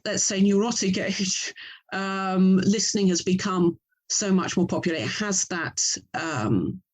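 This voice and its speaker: A woman's voice: mid-range voice, unhurried. Middle aged english lady, chatty and thoughtful, being interviewed about her work as a writer. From England. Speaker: writer and audio drama producer, interview guest. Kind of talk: casual interview talk.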